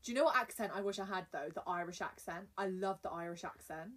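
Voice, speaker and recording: Young woman, from England, playful, teasing, chatty, breathy voice, distant mic. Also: on the low side, quick pace. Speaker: podcast co-host. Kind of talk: casual chat. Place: home.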